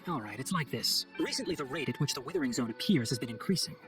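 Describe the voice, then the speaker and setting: Man acting a brisk, articulate young forest ranger. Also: medium pitch, animated, fairly bright tone, faint music behind, close to an everyday voice. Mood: earnest, grave. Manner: articulate, formal, explanatory. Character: earnest young male forest ranger, scholarly and composed. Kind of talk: video game cutscene voice acting.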